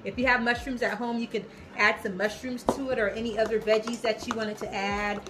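A woman's voice: on the high side, fairly even intonation, a little fast, noisy background. A woman in her thirties hosting a casual home cooking video, chatty and upbeat, talking straight to viewers in her kitchen. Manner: upbeat, chatty, talks to viewers as "you guys". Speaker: cooking vlogger, host. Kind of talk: casual cooking demo chat.

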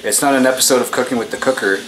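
A man's voice: medium pitch, rapid-fire, a bit bright. A man in his forties with a Canadian accent, chatting casually and informally as he films himself at home, laid-back and freely swearing. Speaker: home vlogger. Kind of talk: casual chat while filming daily life. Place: home kitchen, with some outdoor shots. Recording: noisy handheld camera audio with ambient hiss.

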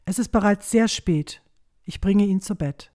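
A woman's voice: mid-range voice, unhurried, mellow, natural voice. A woman playing a caring, anxious, protective village mother in a fantasy audio drama. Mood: anxious care.

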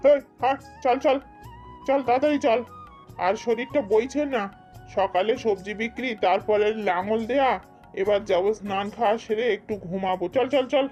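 A woman's voice: fairly high pitch, moderate pace, darkish tone, highs cut off, close to an everyday voice. A woman acts out a hardworking, weary village farmer and father in a cartoon. He sounds rustic and plain-spoken. Music runs faintly underneath. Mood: homely, weary. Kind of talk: amateur cartoon dubbing.

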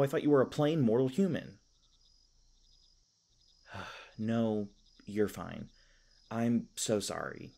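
A man's voice: fairly low pitch, vocal fry, dark tone. A man playing a kindly, flustered, youthful elf park ranger in a fantasy audio roleplay, stammering and rambling apologetically. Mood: flustered, amused, then stressed, apologetic. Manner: rambling, stammering, apologetic, self-deprecating humor. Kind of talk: fantasy audio roleplay, casual conversational acting.

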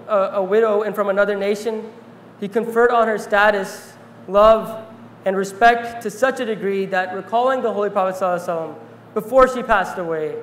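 A fairly high-voiced young man speaks earnestly on stage, reading from notes and pausing often with small fillers. His voice rings through a large hall with a long reverb tail and a faint hum of ambience. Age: young adult. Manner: earnest, reflective, pauses with fillers. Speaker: speaker and interviewer.

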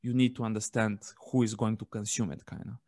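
A young man hosting a podcast, speaking close to a microphone in a casual, even way with fillers like "like" and "right". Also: lowish voice, a little fast. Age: young adult. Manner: even, flat delivery with filler words like "like" and "right". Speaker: podcast host. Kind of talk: conversational podcast interview.

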